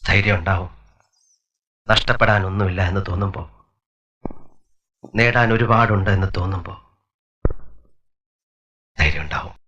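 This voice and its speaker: An actor playing a serious man in a film, speaking in a measured, deliberate way. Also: low-pitched, bright, forceful tone, muffled recording, a little hiss or hum. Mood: resolute.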